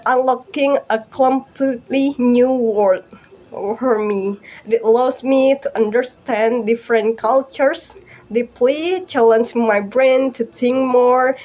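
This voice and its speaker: A woman's voice: a little high, a little mellow. A young Indonesian woman with an Indonesian accent, presenting informally to a small online group in a giggly, friendly, chatty way. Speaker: host and presenter of a language practice talk group. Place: online video call.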